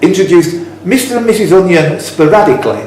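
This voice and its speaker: Male quiz host, animated intonation, informal banter, reading questions aloud, heavy echo, faint background noise. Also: high-pitched, brisk. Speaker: quizmaster. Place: echoey indoor club room.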